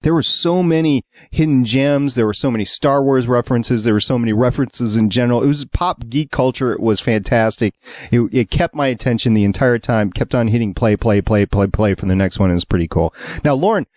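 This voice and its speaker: A man's voice: mid-range voice, a little fast, darkish tone, dry recording, studio quality. A man hosting a podcast, chatting casually with a panel. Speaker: podcast host.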